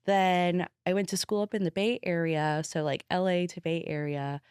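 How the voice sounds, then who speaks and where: A woman's voice: fairly low pitch, steady tone, moderate pace, a little mellow, clean tone, no room sound, clean studio sound. A woman in her twenties from Costa Rica, a podcast guest chatting casually and animatedly, with lots of filler "like". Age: 20s. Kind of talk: casual conversation.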